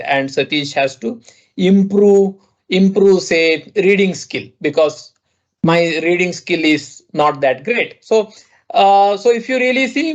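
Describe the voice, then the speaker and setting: A man with an Indian English accent presenting an online product demo, talking haltingly with many restarts and "you know" fillers. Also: high-pitched. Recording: dull lo-fi audio.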